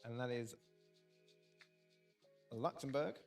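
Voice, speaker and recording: A man hosting a casual live quiz, dry and wry, often pausing with ums. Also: medium pitch, vocal fry, darkish tone, soft background music. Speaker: quiz host.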